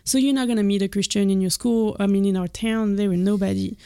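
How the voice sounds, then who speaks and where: Female guest, French accent, candid and soft-spoken, mid-pitched. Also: steady tone, fast talker, smooth. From France. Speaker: missionary guest. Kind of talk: personal testimony in an interview. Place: studio.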